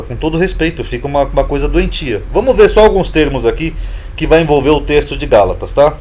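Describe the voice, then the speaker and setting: A man's voice: on the high side, darkish tone. A Brazilian man explaining Bible terms in an informal lesson, emphatic and repetitive, asking rhetorical questions. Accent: Brazilian Portuguese. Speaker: Bible teacher, channel host.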